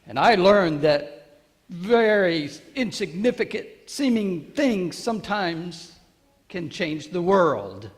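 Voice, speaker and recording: Casting: male actor as boastful, excitable ancient carpenter for stage monologue; very animated, emphatic, halting delivery, long hall reverb. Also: sits high, exaggerated voice. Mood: reflective, proud. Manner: emphatic, halting, repeats phrases, addresses listeners directly. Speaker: monologue performer. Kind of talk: theatrical church monologue.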